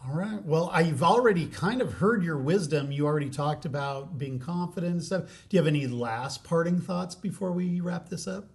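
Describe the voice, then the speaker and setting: A man's voice: fairly high pitch, moderate pace, few pauses. A man hosting a college podcast, chatting in a friendly, upbeat way with a guest. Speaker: podcast host. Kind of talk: casual interview chat.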